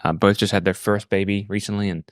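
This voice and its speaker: A man in his thirties with an Australian accent, telling his personal story reflectively and haltingly, repeating words as he thinks. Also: on the low side, level tone, dry recording, studio quality. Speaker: podcast guest.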